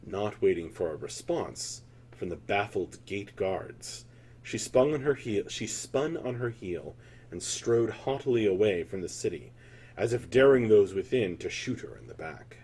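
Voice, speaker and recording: A man's voice: lowish voice, animated, unhurried, a little mellow, slightly rough. A man narrating a scripted fantasy tale as a storyteller, in a solemn, theatrical manner.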